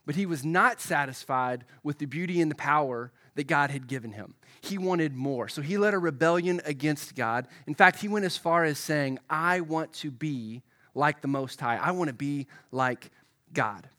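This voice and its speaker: A male pastor delivers a live sermon with a touch of froggy hoarseness from a head cold. His voice sits a little higher than most men's, and he keeps it conversational, turning to the congregation with questions as he goes. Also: normal speed, pushed and bright.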